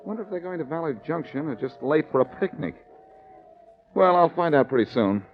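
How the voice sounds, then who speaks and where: An actor playing a wisecracking, unflappable amateur detective in a glib, sardonic 1940s radio drama style. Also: male voice, fairly high pitch, lively intonation, a little fast, slight background noise, lightly acted. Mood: dry, skeptical. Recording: dull, lo-fi old radio recording.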